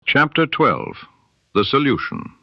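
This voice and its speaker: A man narrating a detective story audiobook in a measured, deliberate, theatrical storytelling manner, in a slightly dull recording. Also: medium pitch, wildly swooping pitch, creaky, no echo.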